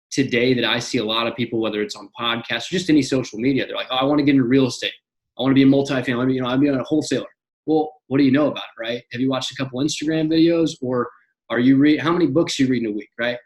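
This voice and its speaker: A young man in his twenties, a podcast guest talking in an energetic casual way, often repeating and restarting words. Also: medium pitch, poor audio. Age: young adult. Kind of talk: casual interview chat.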